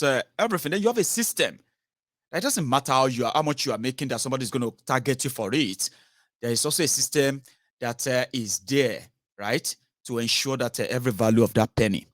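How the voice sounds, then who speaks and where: A man's voice: mid-range voice, dry recording, studio quality. A man with a Nigerian accent hosting a political phone-in, talking conversationally and emphatically, with rhetorical questions and tags. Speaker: host of a political phone-in show. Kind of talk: conversational political commentary with callers.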